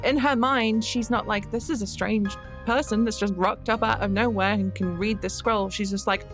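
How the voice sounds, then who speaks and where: A woman's voice: medium pitch, steady tone, moderate pace. A woman playing a tabletop game, casually describing her character with wry emphasis. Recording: music playing behind the voice.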